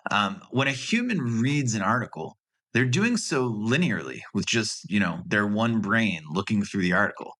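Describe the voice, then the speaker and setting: Low, bright-voiced man presenting research. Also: sing-song, moderate pace. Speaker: graduate student presenter. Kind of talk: recorded class project presentation.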